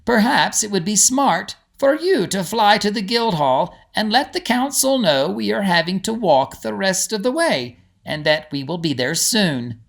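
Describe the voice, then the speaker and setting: Man playing a storybook guide, male, higher-pitched, protective, careful, reassuring, formal. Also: sing-song, unhurried, gravelly, few pauses, full character voice. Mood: gently directive. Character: calm male guide, protective, forcing a cheerful tone.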